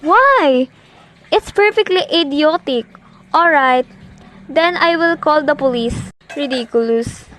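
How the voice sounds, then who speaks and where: A woman's voice: high-pitched, expressive, slow, a bit bright, full character voice. A young woman playing an imperious, nervous married lady in an amateur radio play, demanding and impatient. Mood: indignant. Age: teenager to young adult. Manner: demanding, agitated, impatient, haughty. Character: imperious, nervous, indignant married woman reporting an overheard murder plot.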